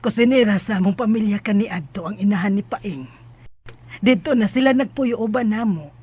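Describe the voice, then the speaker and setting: A woman's voice: medium pitch, moderate pace, a little mellow, husky, close to an everyday voice. A woman with a Cebuano Filipino accent playing a gracious middle-aged lady of a hacienda in a radio drama, genteel and welcoming. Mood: nostalgic. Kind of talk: Philippine radio drama acting.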